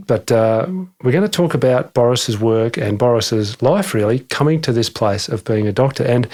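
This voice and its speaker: A man's voice: medium pitch, a little mellow. A man hosting a casual podcast interview at home, friendly and matey. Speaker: podcast host. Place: home, indoors.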